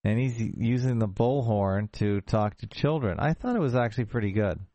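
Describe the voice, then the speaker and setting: A man hosting a show, casually introducing a video clip in a rambling, unhurried way. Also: on the low side, dark tone, dry recording, muffled recording. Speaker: show host.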